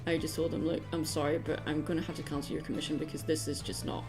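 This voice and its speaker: A woman's voice: deep voice, steady tone, fast talker. A woman hosting an art livestream, talking casually and informally as she works. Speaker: livestream host, commission artist. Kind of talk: casual livestream chat while drawing. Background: music.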